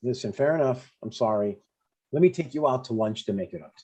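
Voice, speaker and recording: A man's voice: mid-range voice, animated, a little fast, warm tone, breathy edge. A man in his sixties playing an earnest, eager but stubborn dad in a conversational comedy table read. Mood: defensive, hurt.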